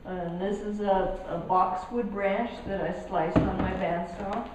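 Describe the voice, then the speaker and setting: Soft-spoken woman in an echoey gallery. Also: mid-range voice, fairly even intonation, far from the mic. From Colombia. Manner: halting, with many restarts and fillers. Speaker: artist giving a gallery talk. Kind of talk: casual artist talk. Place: gallery hall. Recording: echoey hall, speaker off mic, faint noise.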